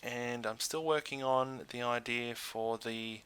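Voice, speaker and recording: A man's voice: medium pitch, steady tone, a little slow, a bit bright, smooth. A man hosting a gaming video with casual commentary, hesitant and thinking aloud as he goes.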